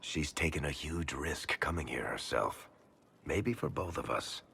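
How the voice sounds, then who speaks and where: A man's voice: very deep voice, animated, a little slow, creaky, bright, forceful tone, a little hiss or hum, heavily acted. An actor playing a worldly male dwarf rogue in a video game, dry, guarded and self-deprecating. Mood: wry. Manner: dry, wry. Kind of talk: video game cutscene acting.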